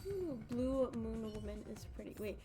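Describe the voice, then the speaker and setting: A woman's voice: a little high, animated, moderate pace. A woman livestreaming a game with casual, playful, rambling commentary. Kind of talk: casual live gameplay commentary. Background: game music.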